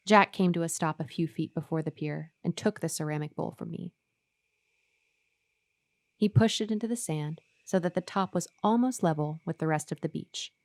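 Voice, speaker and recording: Uneasy woman narrator, lower-pitched, measured storytelling, dry studio sound, radio horror play. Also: darkish tone, no echo. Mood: measured, obedient. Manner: measured, first-person storytelling.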